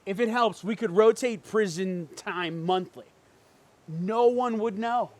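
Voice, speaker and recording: A man's voice: sits high, takes it slowly. A man acting a cocky, teasing twin brother who is flippant and unfazed by jail in an amateur drama reading. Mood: mock hurt, smug.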